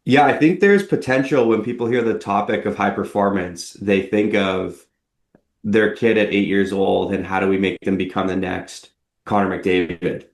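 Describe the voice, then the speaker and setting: A man's voice: deep voice, fairly even intonation, normal speed. A man co-hosting a podcast, talking conversationally and setting up questions for his co-host. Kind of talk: casual podcast chat. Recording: echoey room.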